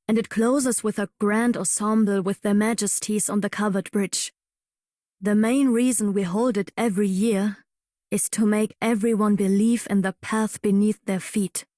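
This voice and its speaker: Woman voicing a dignified, mid-pitched courtly lady. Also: a little mellow, no echo, clean studio sound, natural voice. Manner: formal, poised. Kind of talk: video game character voice acting.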